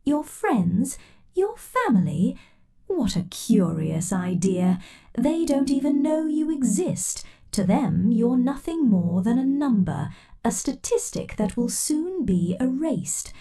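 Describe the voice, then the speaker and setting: A woman speaks slowly and softly in an ASMR roleplay, playing a possessive, controlling scientist who talks straight to the listener. Her voice is mellow and fairly high, and its pitch moves a lot. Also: sing-song. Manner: soft, slow, menacing and possessive.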